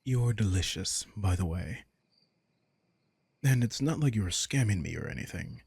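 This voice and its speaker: Man acting as vampire king, low husky voice, casual, teasing, protective, intimate roleplay audio. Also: lively intonation, unhurried, a little mellow, dry recording, heavily acted. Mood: concerned, gentle. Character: commanding but caring male vampire king.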